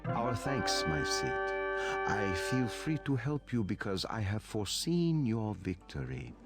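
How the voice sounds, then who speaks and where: Wise Moorish cleric, game character, very slow, wildly sing-song pitch, formal, gentle. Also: male voice, exaggerated voice. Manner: formal, solemn, measured. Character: devout, gentle Moorish mosque holy man, pleading and wise. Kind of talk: dramatic video game voice acting.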